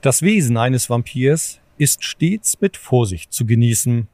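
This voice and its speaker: A man narrating an audio drama in a formal, deliberate, literary storytelling manner. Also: medium pitch, animated, a little mellow, dry recording.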